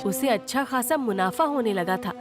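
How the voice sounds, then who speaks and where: A woman tells a children's story as a scripted narrator. Her voice sits fairly high, and music plays clearly behind her. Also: expressive, moderate pace.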